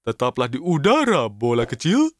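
A man voicing an excitable, good-natured cartoon cook in a children's show, with exaggerated, drawn-out exclamations. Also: mid-range voice, very noisy. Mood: showy.

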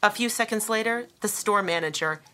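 A woman narrating an audiobook in a dramatic, deliberate style with weighted pauses and emphasis. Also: mid-range voice, pushed and bright.

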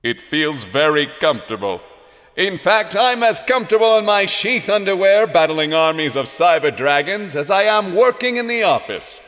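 Guy acting as a proud superhero doing a grand ad pitch, highish voice, big echoey reverb. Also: a bit bright. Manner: grandiose, mock-heroic salesman delivery. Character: earnest, boastful male superhero plugging his underwear. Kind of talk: comedy sketch ad pitch. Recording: reverberant hall with faint music.

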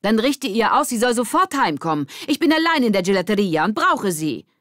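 A woman voicing a brisk, demanding, chatty mother in a children's audiobook. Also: medium pitch, big pitch swings, a bit bright, no echo, studio quality, voice pushed up. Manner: insistent, chatty.

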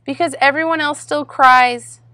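A woman's voice: sits high, fairly even intonation, moderate pace, bright, forceful tone, dry recording, voice pushed up. A woman voicing a proud, curious little boy rabbit of nearly five in a storybook reading, emphatic and questioning. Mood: earnest.

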